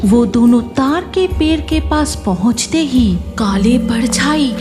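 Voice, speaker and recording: Casting: female storyteller for horror tales, highish voice, unhurried delivery, music underneath. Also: lively intonation. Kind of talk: scripted horror story narration. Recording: music behind the voice.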